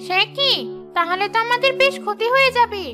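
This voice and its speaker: A woman voicing a greedy, scheming, nagging village wife in an animated moral story. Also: very high-pitched, fairly quick, over music. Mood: greedy, urging.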